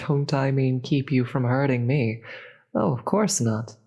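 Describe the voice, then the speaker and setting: A performer plays a regal demon queen in a fantasy audio drama. The voice is husky and breathy, with slow, deliberate, formal speech. The queen sounds sardonic and tired of it all. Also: mid-range voice, mellow, highs cut off. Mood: condescending, amused. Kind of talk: scripted audio roleplay.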